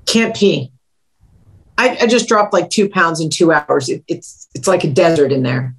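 A woman's voice: low-pitched, lively intonation, measured pace. A woman playing a proud, guarded veteran Muay Thai fighter at a script reading. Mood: anxious, guarded. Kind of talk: screenplay table read acting.

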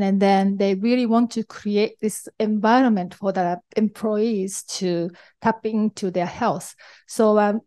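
A woman's voice: mid-range voice, mellow. A middle-aged woman with a Japanese accent, presenting to a conference audience as an investor, hesitant with frequent ums and restarts. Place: conference hall.

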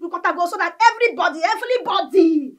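Comic film role: an excitable young Nigerian rapper wannabe, brash, high-voiced, theatrical. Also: female voice, fast talker. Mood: pleading. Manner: theatrical, repetitive chanting and pleading. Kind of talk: comic film acting with Pidgin rapping.

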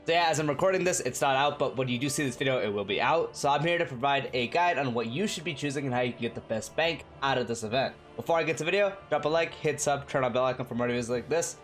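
A man's voice: mid-range voice, soft background music. A man with a cold, sounding nasal, giving a casual game guide voice-over. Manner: fast, energetic, chatty. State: sick, nasal.

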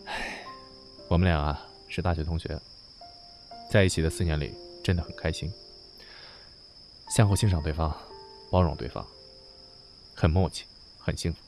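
Man acting a deep-voiced, wistful jilted lover. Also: moderate pace, pauses often, a little mellow, soft background music. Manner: wistful, reflective. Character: wistful, gentle jilted man recalling lost love. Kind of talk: audio drama acting.

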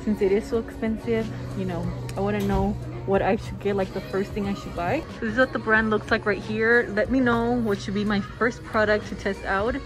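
A young woman in her twenties talks casually to her camera in a small bedroom, peppering her speech with "like" and "you know." Her voice sits at a middle pitch, and the room lends it a noticeable echo with a little music in the background. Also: fairly even intonation, moderate pace, hardly any pauses. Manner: chatty, filler-heavy, addresses viewers as "you guys". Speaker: beauty vlogger.

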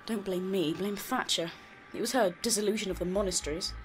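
An actress playing an apologetic, awkwardly explanatory university official in an audio drama. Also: female voice, mid-range voice, brisk, slight background noise, lightly acted, poor audio. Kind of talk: naturalistic audio drama acting.

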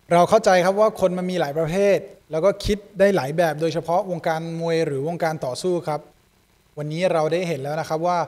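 Male presenter, twenties, slightly high voice, rapid-fire delivery, close studio mic. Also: a little mellow. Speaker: show host. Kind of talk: presenter outro to camera. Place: small indoor studio set. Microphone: close desk microphone on boom arm.

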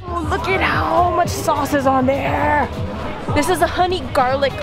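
Young woman, food vlog host, playful tasting commentary, high pitched, outdoor market, loud background music. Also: unhurried, creaky. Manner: bubbly, playful, describes flavors with silly comparisons. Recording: loud crowd and music behind.

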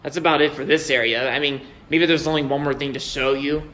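A man's voice: a little high, steady tone, a little fast, sharp tone. Young man rambling through a house tour. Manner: rambling, with many repeated words and restarts. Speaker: vlogger giving a house tour. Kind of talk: casual house tour narration. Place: indoors in a house, walking from room to room. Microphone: handheld phone camera held by the speaker, off screen.

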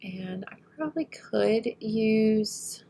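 A woman getting over a head cold, slightly nasal, talking through a photo editing tutorial as she works and often trailing off quietly. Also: on the high side, animated, takes it slowly, creaky, a little hiss or hum. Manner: thinks aloud while working, often trailing off quietly. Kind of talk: screen-recorded editing tutorial.

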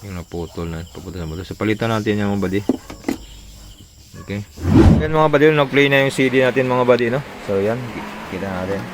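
A man's voice: lowish voice, lively intonation, fairly quick, very noisy. A man casually explaining a hands-on repair, informally tossing in short tag questions, like a tutorial vlogger.

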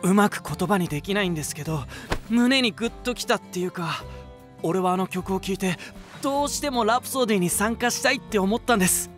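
A man's voice: high-pitched, brisk, a bit bright, faint music behind. A male actor playing a sincere, eager young rock singer in an anime voice drama, speaking politely.

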